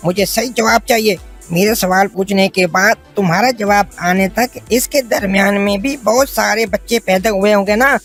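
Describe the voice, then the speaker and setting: A woman voices a cruel cartoon schoolteacher with a bossy, hectoring delivery. Her voice sits at a middling pitch and drips with scorn. Faint music plays behind her. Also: normal speed, natural voice. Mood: scornful, angry. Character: cruel, scornful, greedy woman schoolteacher who terrorises children. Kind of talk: Hindi cartoon story voice acting.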